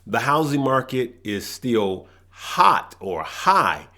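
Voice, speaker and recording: A man's voice: mid-range voice, sing-song, slow, bright, forceful tone. A man hosting a stock market show, talking casually to viewers and saying he is losing his voice, rambling with restarts and tagging his points with "right?". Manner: rambling, restarts phrases, tags lines with "right?".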